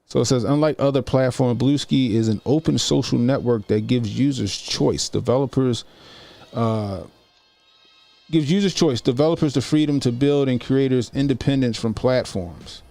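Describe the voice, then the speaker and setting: A man's voice: medium pitch, normal speed, gravelly, mellow, faint music behind. A man in his forties doing casual political commentary on a stream, slangy and loose, repeating himself as he talks. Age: 40s.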